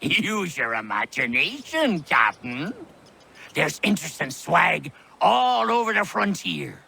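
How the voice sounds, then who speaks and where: An actor playing a sly, teasing old sailor with a gravelly voice and salty pirate speech in a video game. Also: male voice, fairly high pitch, big pitch swings, slow pace, bright, forceful tone, slight background noise, heavily acted.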